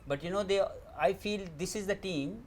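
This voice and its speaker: A man's voice: sits high, moderate pace. A man giving his opinions as a sports panel pundit. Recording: faint background noise.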